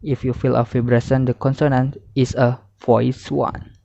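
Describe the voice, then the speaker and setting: Young male student presenter, halting lesson read, soft-edged tone, muffled lo-fi sound. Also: medium pitch, little pitch movement, gravelly, no room sound. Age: young adult. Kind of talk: scripted lesson narration, reading slides. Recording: muffled, dull lo-fi audio.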